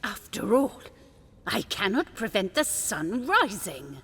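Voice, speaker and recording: Imperious, greedy wife, slow and echoing. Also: female voice, mid-range voice, very animated, fairly bright tone, slightly rough, exaggerated voice. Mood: discontented. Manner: haughty, commanding, deliberate. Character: imperious, greedy, never-satisfied fisherman's wife. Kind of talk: full-cast fairy tale audio drama acting. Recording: long hall reverb, music behind.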